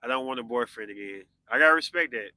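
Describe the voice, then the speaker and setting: Bright-voiced man in his forties, chatting. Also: medium pitch, fast, no echo. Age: 40s. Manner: loose and conversational, answering viewer comments. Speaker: podcast host. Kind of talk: casual live-stream chat with viewers.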